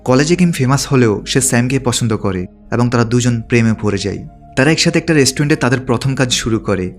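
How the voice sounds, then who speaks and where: Fast-talking male narrator over music. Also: mid-range voice. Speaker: narrator recapping a film. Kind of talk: scripted narration.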